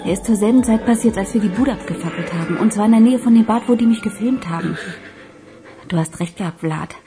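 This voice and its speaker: A woman's voice: mid-range voice, fast talker, music in the background, natural voice. An actress playing a frightened but tender young woman on the run in a radio crime drama. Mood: urgent, fearful.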